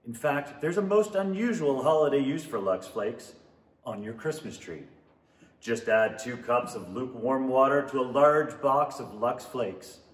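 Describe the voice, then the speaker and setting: A man's voice: medium pitch, unhurried, lightly acted. A man playing a polished, upbeat 1930s radio announcer reading a script. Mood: salesmanlike. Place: theatre stage. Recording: roomy theatre stage.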